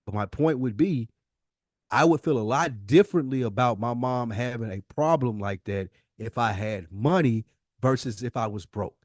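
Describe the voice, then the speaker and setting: Male podcast host, average pitch, dry sound, casual but emphatic, restates points for weight. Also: normal speed, no echo.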